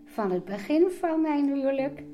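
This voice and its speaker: A woman's voice: sits high, lively intonation, normal speed, warm tone, faint music behind. An older woman recalling things casually, hesitating as she searches for words. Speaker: host's mother, interviewee. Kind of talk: casual personal recollection.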